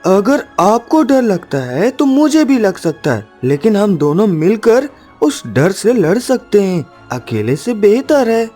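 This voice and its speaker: A woman's voice: medium pitch, sing-song, slow pace, hardly any pauses, mellow, soft background music. A woman voicing a devoted, brave young son of a woodcutter, earnest and tender, in a cartoon story.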